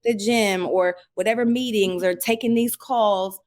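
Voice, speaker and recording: A woman in her forties talks through her life as a podcast guest, in a middle-pitched everyday voice. She chats loosely, full of "like" fillers, and livens her stories by acting out what people said to her. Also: unhurried. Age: 40s. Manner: animated storyteller acting out quoted remarks, many "like" fillers. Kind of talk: casual conversational interview.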